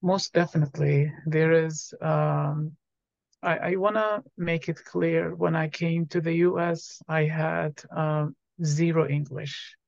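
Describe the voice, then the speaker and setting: A man from Iraq, a doctoral student, answering interview questions politely and steadily with soft fillers. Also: fairly high pitch, flat delivery, takes it slowly, vocal fry, no echo. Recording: dry, muffled lo-fi mic.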